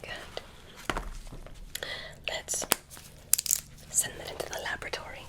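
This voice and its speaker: A woman voicing a curious alien examiner who tests a human, speaking in a soft, clinical ASMR whisper. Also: slow, lightly acted. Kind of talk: ASMR medical roleplay.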